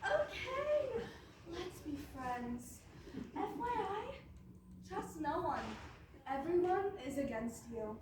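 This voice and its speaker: A woman plays a high-pitched stage princess who gushes theatrically, with possessive menace under the sugar. Her delivery swings widely, heard from afar in a very echoey room. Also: big pitch swings, heavily acted. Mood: sugary menace. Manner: theatrical, drawn-out gushing. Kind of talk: comic stage acting. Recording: very echoey hall, far mic, noisy.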